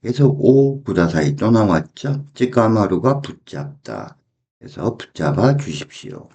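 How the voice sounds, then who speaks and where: Guy explaining phrases bit by bit, mellow and slow, repeats stuff. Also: lowish voice, sing-song, vocal fry, breathy edge. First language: Korean. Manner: deliberate, repeating phrases and translating them piece by piece. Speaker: Japanese language teacher. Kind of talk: explanatory language lesson.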